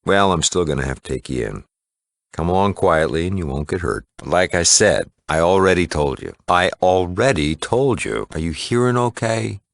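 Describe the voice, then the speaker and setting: An actor playing a gruff, plain-spoken small-town mayor and shopkeeper in a video game, a tough but fair lawman with a gravelly voice and folksy, firm manner. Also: sits low, expressive, normal speed, dry recording, studio quality. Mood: stern, threatening. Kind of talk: video game character dialogue.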